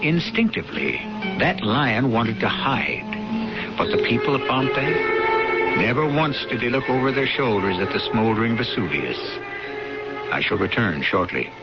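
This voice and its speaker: Theatrical male radio host, lively pitch. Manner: theatrical, portentous, deliberate. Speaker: host and narrator of radio drama series. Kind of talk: dramatic scripted radio narration. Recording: muffled old radio broadcast, loud music.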